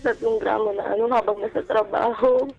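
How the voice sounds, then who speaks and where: A woman calling a radio show by phone, telling a personal story haltingly and politely, quoting dialogue as they go. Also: fairly high pitch, steady tone, gravelly. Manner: halting, polite, uses respectful "po" often. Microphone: telephone. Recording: dull, band-limited radio phone call.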